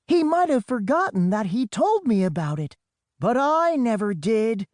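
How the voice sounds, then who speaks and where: A man's voice: extremely high pitch, big pitch swings, unhurried, no room sound, clean studio sound, pitched above the performer's own voice, full character voice. A man voicing a brave, bossy teenage big sister in a children's audiobook, calling out in a commanding, matter-of-fact way. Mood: confident, matter-of-fact. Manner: commanding, shouted delivery.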